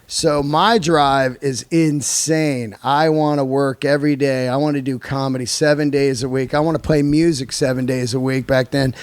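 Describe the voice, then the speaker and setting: A man's voice: mid-range voice, steady tone, moderate pace, hardly any pauses, smooth, no room sound. A man hosting a casual podcast conversation, speaking emphatically and in slangy language, often repeating himself for effect. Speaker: podcast host, comedian and musician.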